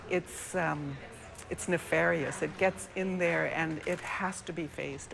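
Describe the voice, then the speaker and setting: Low-voiced woman, halting and earnest. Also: vocal fry. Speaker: physician, clinical director, interview guest. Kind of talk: live news interview.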